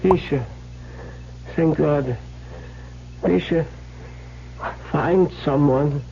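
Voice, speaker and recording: A man's voice: a little high, very slow, gravelly, dark tone, full character voice. An actor playing a wealthy, formal man obsessed with the occult in an old-time radio drama, speaking theatrically. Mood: dying terror. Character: wealthy occult-obsessed man, urbane, later dying and terrified. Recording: muffled, noisy old radio broadcast.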